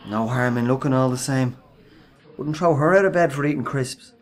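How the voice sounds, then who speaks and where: An actor playing a leering, easygoing workmate in a radio play, casual and slangy. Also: male voice, mid-range voice, normal speed, lightly acted. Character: leering, easygoing male workmate on a tea break.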